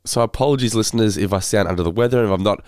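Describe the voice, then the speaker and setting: A man co-hosting a casual podcast, his voice congested and hoarse from a head cold, talking in a weary, self-deprecating way. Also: fairly low pitch, very fast, darkish tone, no room sound, clean studio sound. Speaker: podcast co-host.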